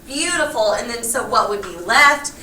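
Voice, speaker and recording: A woman's voice: fairly high pitch, normal speed. Woman teaching in echoey classroom. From Texas. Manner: lively, playful, coaxing students. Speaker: high school geometry teacher. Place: classroom, recorded from the back. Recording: echoey classroom, faint room noise.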